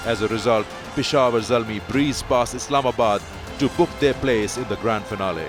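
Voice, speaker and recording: A man's voice: medium pitch, moderate pace, music in the background. A man reading scripted sports documentary narration, switching between English and Urdu.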